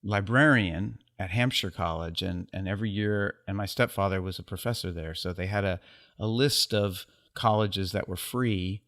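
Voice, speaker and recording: A man from the United States, a guest photographer in a casual interview, speaking reflectively with wry, self-deprecating humor and pauses filled with ums. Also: deep voice, fairly bright tone.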